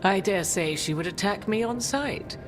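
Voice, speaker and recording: A woman playing a regal, worldly-wise witch in a fantasy game, with formal, archaic and measured phrasing. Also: medium pitch, lightly acted. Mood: wry, knowing. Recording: music under the voice.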